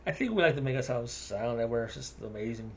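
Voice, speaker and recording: A man's voice: medium pitch, expressive, moderate pace, slight background noise. A man with a South African accent rambling through a casual monologue, chuckling between his thoughts.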